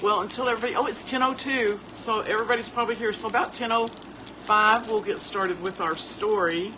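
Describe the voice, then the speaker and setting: A hoarse woman chats easily and warmly, talking to her audience as if they were her own relatives. Her delivery is loose and conversational, with homespun asides. The audio has a clear layer of background hiss. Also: mid-range voice, fairly even intonation, brisk. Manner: chatty, folksy, addresses listeners as family. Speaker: host. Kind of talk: casual livestream chat.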